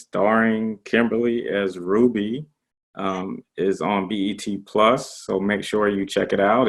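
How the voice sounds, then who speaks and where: A fairly low male voice from a host who grew up in the Gullah Geechee region. He asks questions in a relaxed way, with plenty of "um" and "like". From Gullah Geechee region, US Southeast. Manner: relaxed, uses fillers like "um" and "like". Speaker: interview host. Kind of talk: interview conversation.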